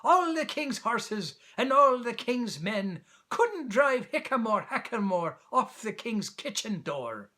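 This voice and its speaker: Storybook squirrel, young male, played by a man: high, bright, impudent, teasing in sing-song rhyme. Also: big pitch swings, slow, hardly any pauses, exaggerated voice. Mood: impertinent. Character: cheeky young male squirrel, impertinent, singing riddles.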